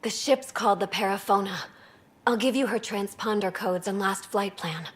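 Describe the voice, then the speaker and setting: A woman's voice: medium pitch, moderate pace, natural voice. A woman playing a weary alien junior officer, a refugee survivor, in video game cutscene dialogue. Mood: subdued, grateful.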